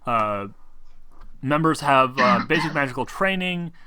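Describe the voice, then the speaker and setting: A man explaining game rules as game master in a casual, halting, rambling way, often clearing his throat. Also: mid-range voice, lively intonation, pushed and bright, no echo. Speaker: game master of a tabletop role-playing game.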